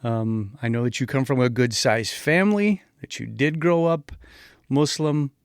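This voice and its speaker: A man's voice: medium pitch, measured pace, clear, resonant tone, no echo. A man speaking as a podcast host, presenting and interviewing. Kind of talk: scripted intro and interview.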